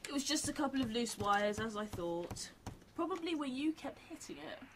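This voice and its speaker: A woman's voice: a little high, big pitch swings, moderate pace, a little hiss or hum. A woman playing a practical, wry survivor in an amateur radio drama, dry and matter-of-fact.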